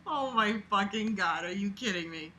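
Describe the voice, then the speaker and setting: A woman reading a book aloud as a host, casual and expressive, breaking off for sarcastic, sweary, mocking asides. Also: medium pitch, moderate pace, slight background noise.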